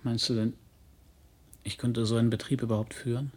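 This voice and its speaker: An actor in a German radio drama playing a wounded, conscientious young adult son, emotional and full of doubt. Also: male voice, mid-range voice, flat delivery, brisk, darkish tone, slightly rough, natural voice. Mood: doubtful, subdued. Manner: pleading, emotional.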